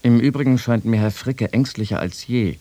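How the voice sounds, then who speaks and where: A man's voice: mid-range voice, moderate pace, dark tone, close to an everyday voice. A man playing a wry soldier-writer, reading a personal letter to a friend in a radio feature. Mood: wry, resigned. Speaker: quote reader in a radio feature.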